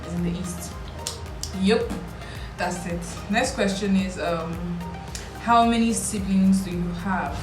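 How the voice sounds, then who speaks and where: A young Nigerian woman vlogger talks in a casual, playful way. Her voice sits fairly low for a woman. It is picked up from some distance in a roomy, echoing space, with music behind her. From Lagos, Nigeria. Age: 20s. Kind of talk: casual chat to camera answering questions. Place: small indoor room with bare walls. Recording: roomy echo, distant mic, background music.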